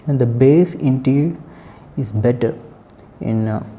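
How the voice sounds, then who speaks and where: Man, very mellow low voice, unhurried, casual product reviewer, halting phrases, faint background noise. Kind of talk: casual unscripted product comparison.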